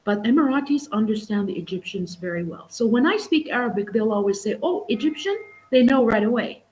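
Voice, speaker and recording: A woman's voice: on the high side, expressive, a little fast, a little mellow, rough recording. A middle-aged woman and English teacher, chatting as a guest on a live stream over a video call, full of restarts and "you know". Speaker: guest, English teacher and exam prep tutor. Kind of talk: casual live-stream conversation.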